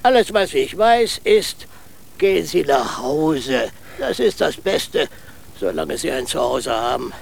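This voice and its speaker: An actor playing a poor, humble old beggar in a radio play, gravelly and resigned. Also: male voice, a little high, lively intonation, slight background noise, exaggerated voice. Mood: weary, humble. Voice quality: gravelly, creaky. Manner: slow, resigned, plain-spoken.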